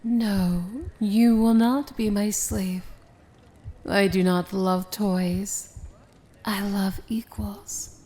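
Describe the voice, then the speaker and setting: A woman playing a proud, dangerous evil princess in an ASMR fantasy romance roleplay, speaking softly and formally with a breathy, measured, regal delivery. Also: mid-range voice, steady tone. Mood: tender, earnest.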